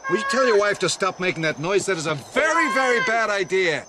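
An actor playing a stern, weary middle-aged scientist in a game adventure, speaking bluntly and with authority. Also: male voice, high voice, animated, brisk, very noisy. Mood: alarmed, urgent. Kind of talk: dramatic dialogue in a game cutscene.

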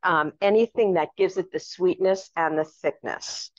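Echoey, lower-voiced woman demonstrating a recipe. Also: moderate pace. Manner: chatty, instructive. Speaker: cooking show host. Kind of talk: casual cooking demonstration. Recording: echoey room, dull lo-fi sound.

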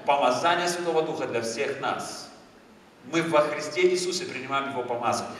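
A man in his thirties from Moldova, with a Moldovan accent, preaching a lively sermon on a church stage and moving between loud emphasis and quiet asides. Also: mid-range voice, fairly quick. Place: large church hall with a stage. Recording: echoey church hall, far from the mic.

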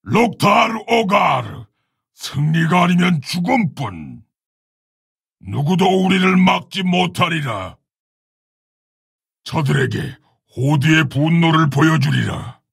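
An actor playing a proud, honorable orc warrior shaman in a video game, speaking in a gravelly, husky, solemn and commanding way. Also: a little high, big pitch swings, slow pace, long pauses, fairly bright tone, no room sound, studio quality, exaggerated voice. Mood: proud, resolute. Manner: solemn, commanding, archaic formal phrasing. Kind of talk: video game character battle lines.

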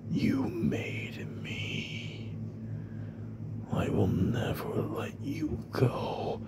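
Slow whispering possessive ink demon. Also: male voice, on the low side, wildly swooping pitch, exaggerated voice. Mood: possessive, menacing. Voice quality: breathy whisper. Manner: slow, menacing, drawn-out phrasing. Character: possessive obsessive ink demon, sinister lovesick captor. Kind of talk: ASMR roleplay.

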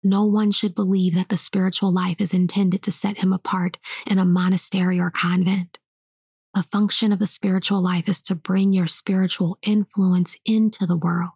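A woman whispering a meditation in a low, flat, breathy hush. The voice sits close and dry, with no room around it. She speaks softly and slowly throughout. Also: no echo. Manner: soft unvoiced whisper, slow and hushed. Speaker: spiritual teacher. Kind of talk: whispered guided meditation and spiritual teaching.

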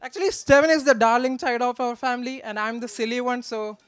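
A man gives a joking, affectionate, slightly halting wedding toast from notes into a handheld microphone. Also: very high voice, steady tone, a bit bright, clear, resonant tone. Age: 20s. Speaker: best man, groom's brother. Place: wedding reception in a large draped marquee.

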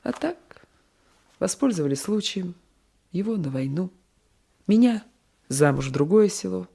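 Husky, troubled young peasant wife. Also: female voice, on the low side, animated, a little slow, mellow, heavily acted. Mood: bitter, brusque. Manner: breathy, emotional, drops into whispered asides. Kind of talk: Soviet radio play acting. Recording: 1980s radio drama recording.

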